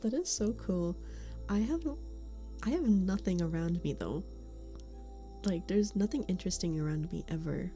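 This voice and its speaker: A woman's voice: on the low side, animated, normal speed, pauses often, warm tone, soft background music. A woman streamer chatting casually with her live chat, giggling often and sometimes dropping to a whisper. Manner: giggly, laughs often between remarks. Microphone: studio condenser mic.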